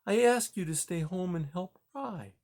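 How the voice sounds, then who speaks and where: A man voicing a gentle young female mouse who speaks softly and earnestly. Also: high voice, expressive, normal speed, pitched above the performer's own voice. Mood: pleading. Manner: soft, pleading.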